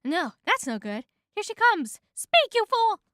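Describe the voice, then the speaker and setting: A woman's voice: high voice, animated, unhurried, bright, forceful tone, clean tone, no echo, clean studio sound, full character voice. A woman voicing a nervous, awkward young male cartoon bee who stammers and apologizes. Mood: anxious, self-arguing. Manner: stammering, self-arguing, apologetic.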